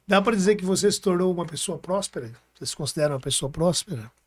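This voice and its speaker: A man's voice: sits high, normal speed, breathy edge. A man with a Brazilian accent hosting a podcast in a casual, colloquial way, often checking for agreement with short tag questions. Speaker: podcast host. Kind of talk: casual chat, interviewing.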